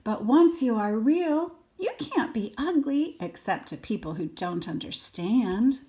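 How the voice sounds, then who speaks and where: A woman's voice: a little high, expressive, measured pace, warm tone, voice pushed up. An older woman voicing a wise, kindly old toy horse in a storybook, teaching patiently.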